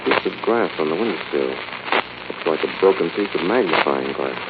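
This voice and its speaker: An actor playing a suave, analytical amateur sleuth, terse and brisk, in a 1940s radio mystery. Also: male voice, rumbling low, animated. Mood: focused. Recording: muffled, noisy 1940s radio recording.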